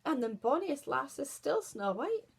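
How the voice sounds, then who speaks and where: A woman's voice: high-pitched, big pitch swings, unhurried, creaky, voice pushed up, exaggerated voice. A woman voicing a cheeky talking magic mirror in a storybook tale, with a Scottish accent and a blunt, taunting manner. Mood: matter-of-fact.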